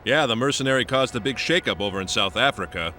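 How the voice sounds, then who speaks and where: A man voicing a gruff, cocky squad veteran in an English anime game dub, talking bluntly in casual slang. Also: mid-range voice, expressive, fast talker, sharp tone, a little hiss or hum. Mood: blunt, confident.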